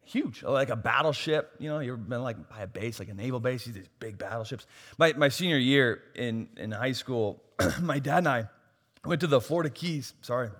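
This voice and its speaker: A pastor preaching a sermon, losing his voice and swinging from soft asides to loud, emphatic points. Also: mid-range voice, lively intonation, fairly quick. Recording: long hall reverb.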